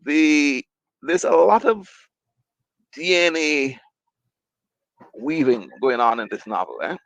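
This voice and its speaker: Gravelly, musing man. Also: a little high, expressive, slow, a bit bright, no echo. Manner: tentative, musing, hesitant fillers. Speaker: panelist. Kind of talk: casual panel discussion. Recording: dull, dry lo-fi sound.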